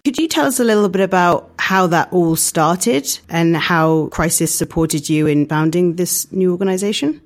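A woman's voice: lowish voice, normal speed, hardly any pauses. A woman interviewer asking polite, plain questions.

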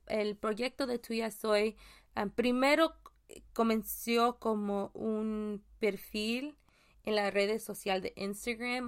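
Slow, gravelly-voiced woman podcaster. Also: a little high. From Mexican. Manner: halting, many fillers and restarts; chatty, laughs at own jokes. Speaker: podcast host. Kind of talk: casual solo podcast talk.

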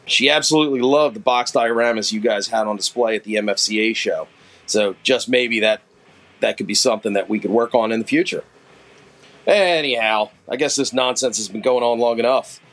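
A man's voice: medium pitch, brisk, fairly bright tone. A middle-aged man with a Philadelphia accent, leaving a folksy, self-deprecating voice message for a podcast. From Philadelphia area. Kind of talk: recorded voice message.

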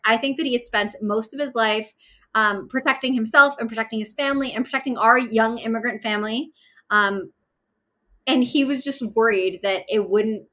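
A Pakistan-born woman in her thirties answers interview questions about her working life. Her voice is bright and forward, her delivery candid and chatty, dotted with small fillers. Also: on the high side. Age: 30s. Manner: warm, candid, with light laughs and fillers. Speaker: interview guest, manager trainer. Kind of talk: conversational career storytelling.

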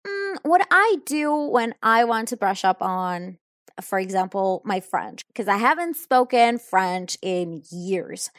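A young woman speaks English slowly and deliberately, taking her time over each answer. She sounds thoughtful and a little hesitant, filling gaps with soft ums. Her voice is close and dry, with no room sound around it. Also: medium pitch, expressive, dry recording. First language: Italian. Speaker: English learner answering questions. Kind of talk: unscripted answers in an English lesson.

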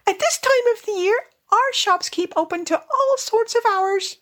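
A woman voicing a small, polite, respectful field mouse with a shrill little voice, for a storybook. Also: moderate pace, a bit bright. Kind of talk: storybook character voice.

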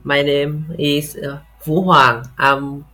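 A man from Vietnam with a Vietnamese accent, talking haltingly as a casual interview guest. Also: a little high, flat delivery, bright, forceful tone, soft background music. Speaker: podcast guest, software tester.

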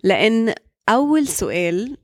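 A woman's voice: fairly high pitch, big pitch swings, racing, no room sound, studio quality. A young woman with an American English accent, hosting a solo podcast and speaking frankly, switching between Arabic and English mid-sentence. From Saudi Arabia. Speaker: podcast host.

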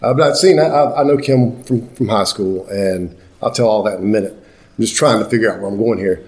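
A middle-aged man hosting a casual, chatty interview in an easygoing, halting, rambling way. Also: fairly low pitch, a little mellow. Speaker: podcast host and interviewer.

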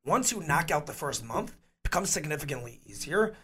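Hoarse man in casual chat. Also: a little high, sing-song, brisk, a crowd in the background. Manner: rambling, restarts often. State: hoarse, losing his voice. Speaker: podcast co-host.

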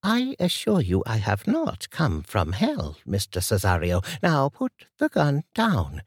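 A man voicing a calm, commanding older woman who speaks with measured politeness. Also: rumbling low, slightly rough, no room sound, clean studio sound, voice pushed up, heavily acted. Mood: calm warning.

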